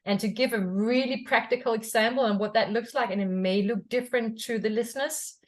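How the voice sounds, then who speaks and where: A woman's voice: a little high, fairly quick. Reflective woman from Denmark. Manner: reflective, repeats "yeah?" to check in. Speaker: podcast host. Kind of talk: casual conversation.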